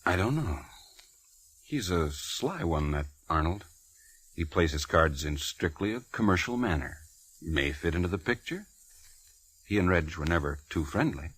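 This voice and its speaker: A man's voice: low-pitched, animated, normal speed, long pauses, fairly bright tone, slightly rough, full character voice, poor audio. A man playing a guarded, earnest suspect in an old-time radio murder mystery. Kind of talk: 1940s-50s American radio drama. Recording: old radio recording with faint noise.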